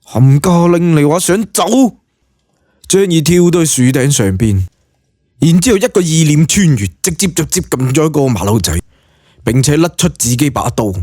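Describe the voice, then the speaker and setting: A male audiobook narrator with a Cantonese accent and a husky edge to his voice. He tells the story colloquially and dramatically, with lively swings of pitch. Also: normal speed, no echo, clean studio sound.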